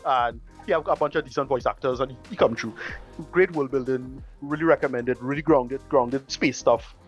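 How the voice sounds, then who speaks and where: A man's voice: medium pitch, moderate pace, slightly rough, lo-fi sound, soft background music. A man casually recommending things on a podcast in an emphatic, slangy, repetitive way. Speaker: podcast panelist.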